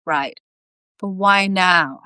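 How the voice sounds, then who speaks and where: A woman's voice: fairly low pitch, very slow, pushed and bright, smooth, dry recording, clean studio sound, full character voice. A woman voicing a senior male scientist in a storybook, teasing and nagging a junior colleague with lazy condescension.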